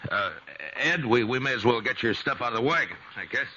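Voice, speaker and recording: An actor playing a compassionate, plain-spoken frontier marshal with halting, folksy speech in a 1950s western radio drama. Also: male voice, medium pitch, expressive, pushed and bright. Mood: troubled. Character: steady, compassionate frontier marshal of the Old West. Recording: dull, noisy old radio recording.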